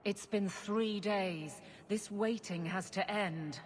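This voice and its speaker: A woman's voice: medium pitch, takes it slowly, natural voice. An actress playing a proud, stern warrior woman in a video game, speaking firmly and formally. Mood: impatient. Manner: firm, formal. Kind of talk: video game drama acting.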